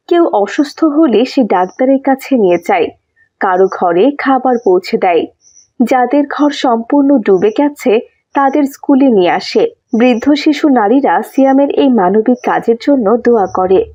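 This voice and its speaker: A woman's voice: a little high, moderate pace, warm tone, no room sound, lo-fi sound. A woman narrating a scripted children's cartoon story as its storyteller.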